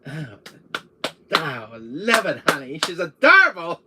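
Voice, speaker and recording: A man's voice: a little high, wildly swooping pitch, heavy background noise, exaggerated voice. Man putting on a campy, flirty villainess. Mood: flirty, preening. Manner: campy, drawn-out, cooing endearments.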